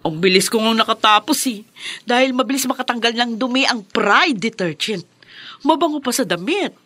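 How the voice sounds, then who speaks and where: Young best friend, high-pitched, upbeat, slangy, exclamatory, worldly, radio drama acting. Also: female voice, lively intonation, moderate pace, bright, forceful tone. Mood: breezy, caring.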